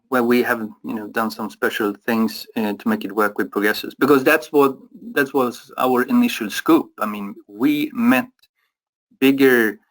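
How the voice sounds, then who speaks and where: European man, mid-pitched, halting webinar answers with lots of fillers. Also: fairly even intonation, a bit bright, poor audio. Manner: halting, many fillers and restarts. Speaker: product expert.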